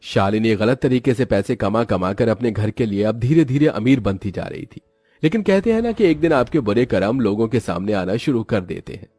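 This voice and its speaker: A man's voice: on the low side, expressive, normal speed, warm tone, slightly rough, dry recording, soft background music. A man narrating a scripted moral story for children.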